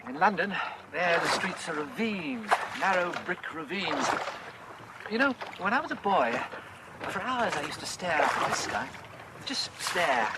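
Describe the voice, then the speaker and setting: Musing English priest, very noisy recording. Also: male voice, sits high, very animated, unhurried. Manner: musing, hesitant, wryly humorous. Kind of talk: radio drama acting. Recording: very noisy radio drama recording.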